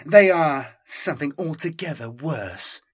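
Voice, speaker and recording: A man's voice: on the high side, expressive, unhurried, slightly rough, pitched above the performer's own voice. Picture a stern, imperious woman inquisitor in a grim sci-fi audiobook, as a male narrator puts her on. She is curt and cold, and she snaps out her orders and explanations. Her voice rises above the narration and carries more force. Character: cold, commanding female inquisitor with augmetic eye. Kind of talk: audiobook character voice.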